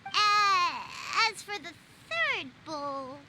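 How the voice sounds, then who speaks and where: A woman voicing a tiny, cheeky, excitable childlike fairy companion in a video game, squeaky and bubbly. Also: very slow, a little hiss or hum, full character voice. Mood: triumphant, excited. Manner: refers to self in third person, bubbly. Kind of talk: English video game voice acting.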